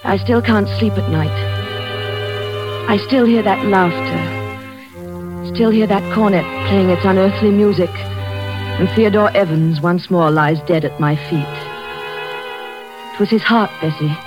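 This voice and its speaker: An actress playing a frightened governess trapped in the dark, with a tremulous, pleading voice, in old-time radio drama style. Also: female voice, mid-range voice, unhurried, long pauses, dull audio, over music, close to an everyday voice. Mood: reflective. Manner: tremulous, pleading, rising to screams.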